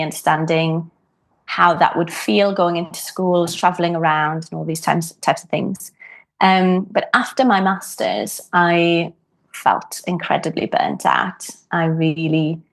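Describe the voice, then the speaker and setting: Woman in her 30s, low-ish voice, chatting slow and thoughtful on a podcast. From Wales. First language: Welsh. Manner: thoughtful, frequent ums and restarts. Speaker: guest harpist. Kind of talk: reflective podcast interview.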